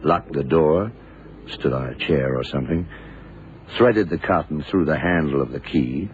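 An actor playing a shrewd, hard-boiled male detective in a 1970s radio drama, speaking deliberately in clipped sentences. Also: rumbling low. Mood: patient explaining. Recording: muffled, noisy vintage radio recording.

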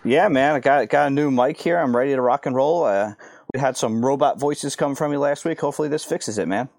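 A man's voice: mid-range voice, fairly quick, vocal fry, darkish tone, dry recording, clean studio sound. A man chatting casually as a sports betting panelist, with laid-back, slangy phrasing. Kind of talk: casual chat.

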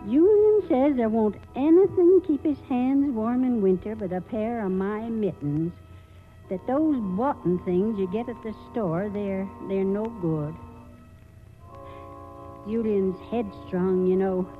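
Through a tinny old radio broadcast with music behind comes an actress as a stubborn old American country wife. She speaks slowly and plainly, in the homely manner of 1940s radio drama. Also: medium pitch, close to an everyday voice. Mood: stubborn, fond.

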